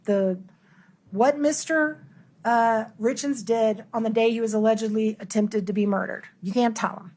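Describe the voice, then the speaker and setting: A woman's voice: a little high, normal speed, a little mellow. A woman lawyer questioning a witness in a courtroom, formal, pressing and insistent. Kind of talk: courtroom cross-examination.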